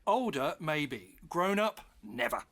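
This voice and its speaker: A teasing boyish bloke in a comedy audio drama, voice bouncing up and down. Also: male voice, fairly high pitch, very animated, takes it slowly, fairly bright tone, exaggerated voice. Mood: light, wry.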